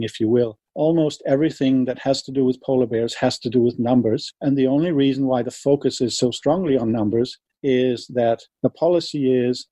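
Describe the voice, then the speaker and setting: A man from Denmark with a Danish accent, speaking English as a second language in an interview, measured and laying out his argument step by step with numbers. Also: medium pitch, mellow, no echo. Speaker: interviewee, wildlife advocate. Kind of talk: earnest explanatory interview talk.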